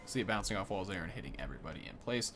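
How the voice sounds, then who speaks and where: A man's voice: low voice, lively intonation, racing, over loud music. Young man doing casual game commentary. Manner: informal, thinking aloud as he tests. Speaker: gaming video host.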